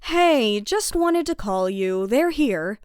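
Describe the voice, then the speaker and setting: A woman's voice: on the high side, expressive, slow pace, clear, resonant tone, dry recording, clean studio sound. A woman playing a worried, scolding mother in a children's audio drama. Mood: secretive, hurried.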